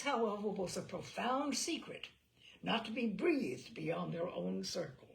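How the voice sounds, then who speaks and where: A woman reading a classic novel aloud as a storyteller, expressive and measured, with emphatic phrases and hushed endings. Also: fairly low pitch, vocal fry. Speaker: audiobook reader and storyteller.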